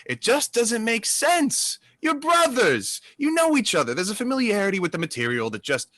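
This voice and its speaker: A man's voice: sits high, wildly swooping pitch, moderate pace, pushed and bright, no echo, studio quality, heavily acted. Man playing a swooping, coaxing Hollywood producer. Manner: salesmanlike, coaxing. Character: smooth, persuasive Hollywood producer, genial and pushy. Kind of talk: dramatic play reading.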